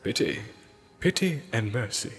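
A man voicing a wise old mentor in a storybook, grave, hushed and admonishing, like a distant remembered voice with reverb. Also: medium pitch, big pitch swings, a little slow. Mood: solemn, compassionate. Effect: long reverb, as a distant memory. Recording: long reverb, distant-sounding, over music.

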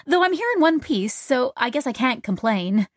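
A woman's voice: high-pitched, sing-song, normal speed, no echo, voice pushed up. A reserved young woman, new to the crew and careful to be polite, as a female narrator reads her. She sounds tentative and courteous in a dry storybook recording.

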